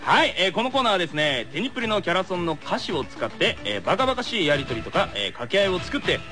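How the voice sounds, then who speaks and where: Fast, very animated young man hosting radio. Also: high-pitched, music in the background. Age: late 20s. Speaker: radio show co-host. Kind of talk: casual bantering radio chat.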